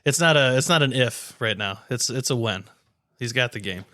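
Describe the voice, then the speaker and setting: Clear-voiced man co-hosting a podcast. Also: mid-range voice, expressive, moderate pace, pushed and bright, no room sound, clean studio sound. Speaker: podcast co-host. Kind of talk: casual sports podcast chat.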